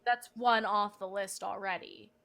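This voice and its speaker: A woman's voice: mid-range voice, steady tone, a little slow, vocal fry, pushed and bright. A young woman from the United States hosting a livestream, chatting in a playful, rambling way. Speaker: livestream host. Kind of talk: casual livestream chat.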